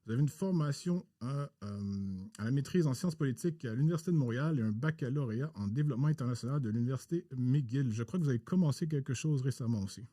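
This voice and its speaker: A man hosting a podcast interview in a formal, halting way with many pauses. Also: mid-range voice, expressive, dark tone, dry recording, clean studio sound.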